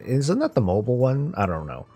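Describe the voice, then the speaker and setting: A man hosting casual gaming news commentary, talking conversationally and thinking out loud as he goes. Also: mid-range voice, big pitch swings, fairly quick, slightly rough. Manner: conversational, rambling, thinking aloud. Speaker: gaming news YouTube host.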